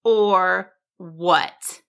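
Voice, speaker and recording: A woman's voice: medium pitch, takes it slowly, pushed and bright. A woman voicing a spiteful, stubborn male wizard in a children's story, snarling and huffy. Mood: taunting.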